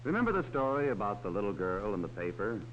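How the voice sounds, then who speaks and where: Male narrator for a 1940s kids' safety film, lively and patient, dull hissy sound. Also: on the low side, fast. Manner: talks down to children. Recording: dull old film soundtrack with faint hiss.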